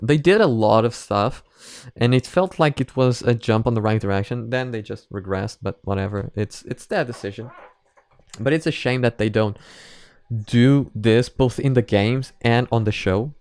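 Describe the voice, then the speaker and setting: A man's voice: mid-range voice, normal speed, a little mellow, no room sound, clean studio sound. A man hosting a reaction video, giving casual opinionated commentary in a rambling, emphatic way with some casual swearing.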